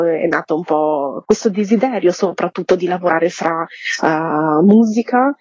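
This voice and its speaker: A woman with a rough, husky voice speaks as a theatre-maker in a reflective, conversational interview. She often seeks agreement with little tag questions. The sound is very dry and slightly muffled. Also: fairly low pitch, a little mellow, no room sound.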